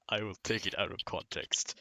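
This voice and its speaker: A man's voice: sits low, steady tone, normal speed, sharp tone, dry recording. A young man co-hosting a casual podcast, teasing his co-host with swearing banter. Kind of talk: casual gaming chat.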